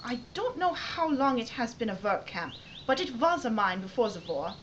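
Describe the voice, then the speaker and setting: A high-pitched young German woman, frightened and pleading in a wartime audio drama. Her accented voice comes through slightly muffled, over noticeable hiss. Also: very animated, moderate pace. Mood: urgent, pleading. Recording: muffled with hiss, ambient sound effects.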